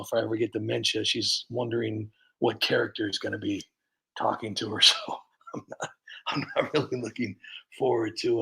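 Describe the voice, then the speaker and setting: A man's voice: fairly low pitch, creaky, bright, forceful tone, rough recording. A man hosting a comedy livestream, chatting casually and rambling with lots of fillers and restarts. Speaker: comedy channel host.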